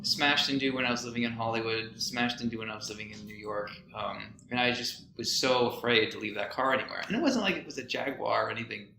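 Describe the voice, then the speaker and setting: A man co-hosting a podcast, telling stories casually in an animated, jokey, sometimes profane way. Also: mid-range voice, fast, creaky, few pauses, a crowd in the background. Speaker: podcast co-host. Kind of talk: casual conversational storytelling. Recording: roomy echo.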